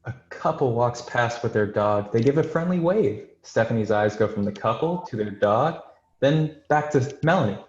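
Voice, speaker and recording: A man's voice: mid-range voice, lively intonation, moderate pace, a little mellow. A man reading scripted screenplay stage directions as narrator. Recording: dull, roomy, echoing home recording.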